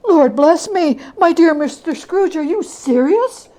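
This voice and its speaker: A woman voicing a portly, kindly old gentleman in a storybook reading, amazed and effusive in a gasping manner. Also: very high voice, animated, unhurried, pitched above the performer's own voice. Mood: astonished, moved.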